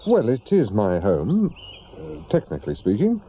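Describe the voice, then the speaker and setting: A man's voice: lowish voice, wildly swooping pitch, measured pace, heavily acted. A man playing a wry, bitter middle-aged English private investigator, once a policeman, with a dry, grumbling, colloquial way of talking in a radio drama. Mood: dryly teasing. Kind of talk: naturalistic British radio drama acting. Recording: muffled radio recording with clear background noise.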